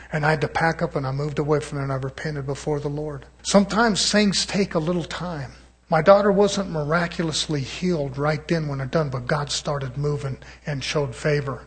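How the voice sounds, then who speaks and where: A middle-aged man, a pastor giving a testimony sermon in church, rising to loud exclamations of praise. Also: a little high, slightly rough.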